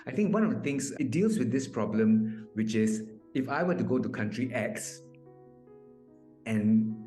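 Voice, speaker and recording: A man's voice: mid-range voice, animated, measured pace, mellow, faint music behind. A man in his fifties from Malaysia answering interview questions conversationally, explaining things with asides and self-corrections. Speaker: interview guest, mediator. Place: small indoor room. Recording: echoey room on video call.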